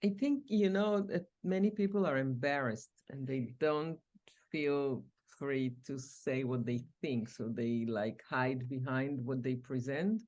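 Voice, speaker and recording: A woman's voice: a bass voice, a little slow, a little mellow. A woman co-hosting an interview, speaking earnestly with loose phrasing. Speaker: podcast co-host. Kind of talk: interview chat.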